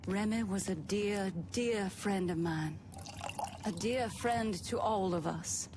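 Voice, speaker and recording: A woman's voice: medium pitch, slow pace, noisy background, lightly acted. An actress playing a commanding, formal Southern society woman in a game drama, with an American Southern accent. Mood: solemn, rousing. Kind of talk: dramatic video game acting, formal speech. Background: music.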